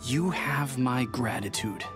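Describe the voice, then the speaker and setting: A man playing a polite, composed gentleman in a video game, courteous, formal and measured. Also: mid-range voice, over music, lightly acted.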